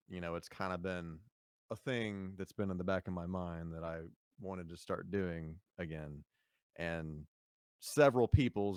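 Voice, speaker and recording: Podcast guest, man, very low smooth voice, easygoing rambling talk, dry close sound. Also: no room sound. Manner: relaxed, rambling with fillers and laughs. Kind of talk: casual podcast chat.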